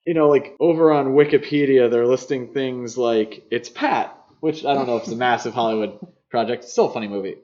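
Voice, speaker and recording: A man with a medium-pitched voice co-hosts a film podcast. He talks in a casual, conversational way and readily gives his opinions. The recording is a little dull and lo-fi. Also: a little fast, a little mellow. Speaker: podcast host.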